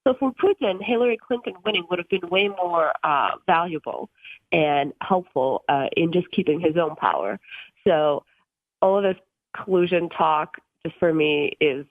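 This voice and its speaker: A woman's voice: on the low side, normal speed, no echo. Woman in her twenties on a tinny phone line. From Russia. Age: 20s. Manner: earnest, many ums and uhs, occasional laughs. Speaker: guest from a tech policy group. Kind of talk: radio interview on a phone line.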